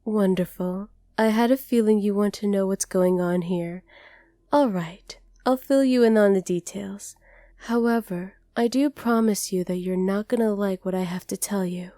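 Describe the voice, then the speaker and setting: A woman voicing a haughty, possessive dragoness captor in an ASMR roleplay, speaking to the listener in a condescending, deliberate way. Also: medium pitch, dark tone, dry recording, lightly acted. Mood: soft, possessive.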